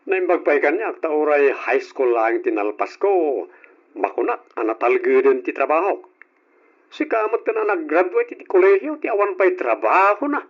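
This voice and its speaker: An actor playing a hardworking young husband and elder brother in an old radio drama, who lectures and scolds. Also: male voice, fairly high pitch, sing-song, normal speed. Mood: earnest. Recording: dull old radio recording.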